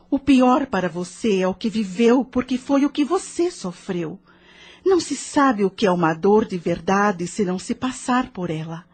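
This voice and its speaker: An actress with a Brazilian accent playing a patient, wise spiritual counselor who speaks in a measured, didactic, questioning way in an audio novel. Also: female voice, medium pitch, a little mellow, breathy edge. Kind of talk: dramatized audio novel dialogue.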